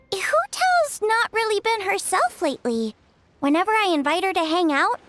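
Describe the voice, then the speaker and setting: A cheerful young woman chef from a fantasy game chats with a friendly, teasing lilt. Her voice is very high and lively in pitch. Soft music plays faintly behind the game dialogue. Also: full character voice.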